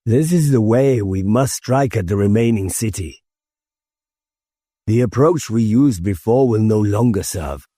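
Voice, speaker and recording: A grave rebel commander , acted by a male narrator, delivers formal, resolute oratory in an audiobook. The voice is mellow and husky, and the delivery deliberate. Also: fairly low pitch, lively intonation, no echo, studio quality.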